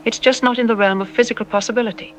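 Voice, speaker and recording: A woman playing a calm, reassuring scientist who explains things in a measured way, as an expert guest in an audio drama. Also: fairly high pitch, a little hiss or hum, natural voice, low-quality recording.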